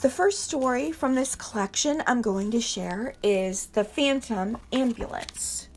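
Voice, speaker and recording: A woman reading stories aloud from a book as a storyteller, with measured, deliberate phrasing. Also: a little high, animated, slight background noise.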